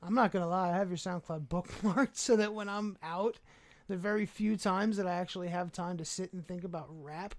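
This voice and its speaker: A man guesting on a podcast, chatting casually about music with jokey, animated asides. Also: high-pitched, fairly quick. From Brooklyn. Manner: animated, jokey, acts out quoted voices. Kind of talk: casual chat.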